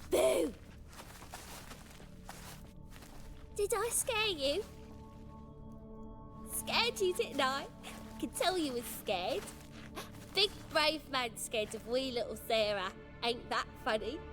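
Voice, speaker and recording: Very high-pitched impish little girl godling. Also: animated, slow pace, long pauses, music in the background. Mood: gleeful teasing. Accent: British. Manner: childlike, teasing, colloquial. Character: mischievous little girl godling, playful and stubborn. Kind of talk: video game character acting.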